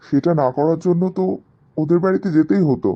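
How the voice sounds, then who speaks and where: A man's voice: on the high side, brisk, close to an everyday voice. A man playing an earnest, dutiful young man in love in a cartoon story, explaining himself, with muffled sound.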